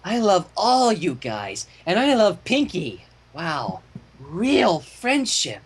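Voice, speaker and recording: A man's voice: high voice, wildly swooping pitch, husky, exaggerated voice. Man playing a giddy, tipsy little boy dragon. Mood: giddy, loving. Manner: slow, sincere, tipsy gushing.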